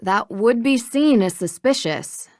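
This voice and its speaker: An actress voicing a composed, inquisitive young American woman detective in an adventure game, politely probing and gently teasing. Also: fairly high pitch, animated, unhurried, no echo, clean studio sound. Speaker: video game protagonist, voice actress. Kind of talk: acted dialogue in an adventure game.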